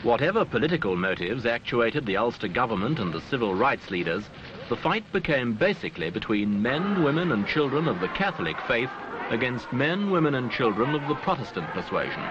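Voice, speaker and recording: Male newsreel narrator, animated pitch, formal and dramatic phrasing, dull noisy archival soundtrack. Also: a little fast. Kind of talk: scripted documentary newsreel narration.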